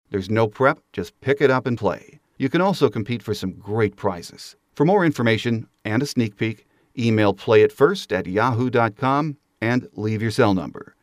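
A man's voice: fairly low pitch, no echo, clean studio sound. A man hosting a sports podcast, delivering scripted commentary and announcements.

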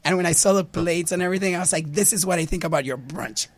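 A man whose voice is recovering after surgeries, telling animated stories in a casual interview. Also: on the high side, fast talker, a little hiss or hum. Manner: animated storyteller, quotes others in voices. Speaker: reality show guest.

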